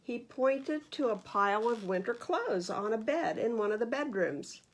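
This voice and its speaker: A woman's voice: on the high side, expressive, normal speed. A woman reading a picture book aloud for children at storytime, expressively and with questions to her listeners. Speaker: librarian reading stories to children. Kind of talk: read-aloud children's storytime narration with asides.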